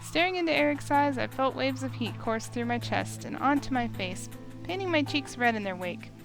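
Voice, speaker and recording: High-pitched flustered young heroine, acted by a woman. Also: normal speed, rough recording. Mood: breathless wonder. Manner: incredulous, expressive. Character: flustered, sceptical young heroine narrating her story. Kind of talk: first-person scripted narration with dialogue. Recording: music behind the voice.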